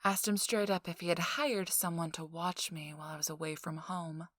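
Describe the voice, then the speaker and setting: A woman's voice: lowish voice, fairly even intonation, a bit bright, no echo. A woman playing a feisty, self-assured young socialite in an intimate ASMR audio roleplay, teasing and dryly sarcastic, sometimes dropping toward a whisper. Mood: wry, confiding. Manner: slow, teasing, dry sarcasm, drifting into whispers.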